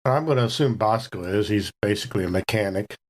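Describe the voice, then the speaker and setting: A man's voice: on the low side, fast. A man playing a tabletop role-playing game, chatting casually and narrating his character in the third person.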